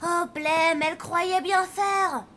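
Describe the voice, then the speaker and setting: A knowing young cartoon zombie, drawling slowly. The voice is high and sharply bright, with a self-assured manner. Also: female voice, steady tone, slight background noise, heavily acted. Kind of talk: children's cartoon voice acting.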